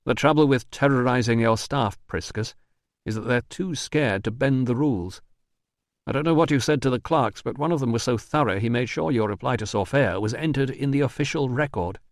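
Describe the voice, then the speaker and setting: A man voicing a calm, dry-witted male investigator in an audiobook, level and sardonic. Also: medium pitch, fairly quick, dry recording, lightly acted. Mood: cool. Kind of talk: audiobook dialogue.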